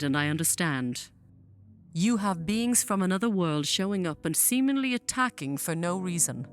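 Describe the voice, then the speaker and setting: A woman playing a commanding alien general in a scripted audio drama, speaking in a formal, measured, imperious manner. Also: on the low side. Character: commanding alien female general, proud, coldly persuasive mother.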